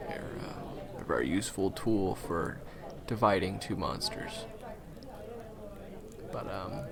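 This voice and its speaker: A man playing a gentle, humble ex-hunter turned guide in an audio roleplay, speaking hesitantly and softly with frequent pauses. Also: lowish voice, vocal fry, heavily acted. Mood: lighthearted, reminiscing. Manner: hesitant, soft-spoken, halting with pauses. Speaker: monster hunter's handler in a fan audio roleplay. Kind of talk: intimate conversational audio roleplay acting.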